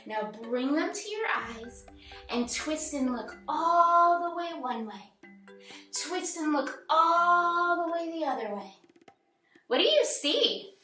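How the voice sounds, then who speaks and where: High, very animated woman leading children's story time. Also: takes it slowly. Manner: animated, singsong, instructing young children. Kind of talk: children's read-aloud and movement lesson. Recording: roomy echo, distant mic, music bed. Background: music.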